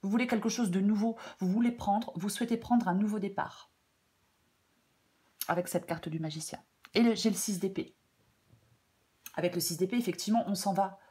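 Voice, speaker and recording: A woman with a French accent giving a tarot reading in a casual, hesitant way, slightly hoarse, with many fillers and repeated phrases. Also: mid-range voice, moderate pace, long pauses, warm tone. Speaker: tarot reader. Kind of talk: casual spoken tarot guidance.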